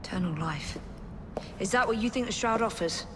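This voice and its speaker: An actress playing a prim, impatient young Victorian assassin with a curt, dry manner. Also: female voice, medium pitch, normal speed, background noise, natural voice. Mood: defiant. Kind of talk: video game cutscene acting.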